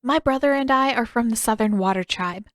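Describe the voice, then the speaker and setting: Woman as an earnest teenage girl, pitched up. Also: fairly quick, no room sound, studio quality, natural voice. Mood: earnest, polite. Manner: polite, pleading, careful.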